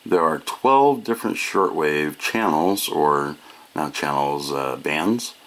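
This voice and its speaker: A man's voice: extremely low pitch, expressive, takes it slowly, a bit bright. An American man giving a casual product demonstration, explaining each feature in a matter-of-fact way. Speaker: product reviewer.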